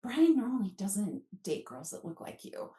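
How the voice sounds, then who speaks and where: A woman's voice: medium pitch, moderate pace, recorded from a distance. Woman, fifties, American accent, casual storyteller, quotes remembered dialogue, roomy echo, slightly off-mic. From Alaska, United States. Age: 50s. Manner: animated, acts out remembered dialogue. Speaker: guest author.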